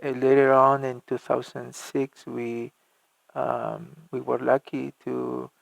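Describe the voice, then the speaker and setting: A middle-aged man from Argentina, a church music minister telling his life story in an interview, halting with many fillers and restarts. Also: medium pitch, flat delivery, vocal fry, no room sound, poor audio.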